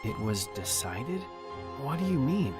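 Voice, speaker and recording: Man acting slow, bewildered young game hero. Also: mid-range voice, sing-song. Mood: confused. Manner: hesitant, puzzled, halting. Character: bewildered, subdued young male newcomer, hesitant. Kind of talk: video game fan dub acting. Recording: game music playing behind the voice.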